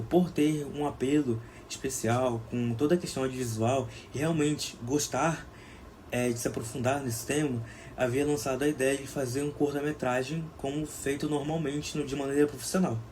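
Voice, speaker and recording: A young man with a Brazilian Portuguese accent gives an academic slide presentation as a student film director, in a halting, hesitant manner. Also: medium pitch, fairly even intonation, a little mellow. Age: young adult. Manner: halting, with frequent hesitations and restarts. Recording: clear background noise.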